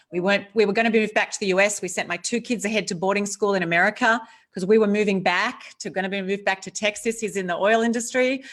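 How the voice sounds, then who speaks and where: A woman's voice: mid-range voice, a bit bright. A middle-aged woman with an Australian accent, speaking earnestly and reflectively as an author guest over a video call, often restarting her sentences. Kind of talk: casual interview chat.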